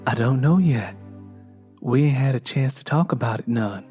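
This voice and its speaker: A man voicing a weary, soft-spoken young woman who pleads earnestly in an audiobook story. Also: medium pitch, normal speed, faint music behind, lightly acted. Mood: tired, gentle.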